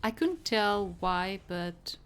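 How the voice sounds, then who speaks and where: A woman's voice: mid-range voice, expressive, slow, clean tone. A woman reading a visual novel aloud as dramatic first-person story narration. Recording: soft music behind the voice.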